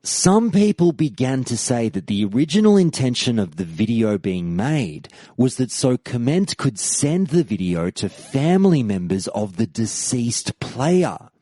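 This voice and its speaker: A man in his thirties tells a history story from a script. His mellow voice swings widely in pitch, and the room is dry and close. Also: very animated, a little slow, hardly any pauses, no echo. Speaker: podcast host.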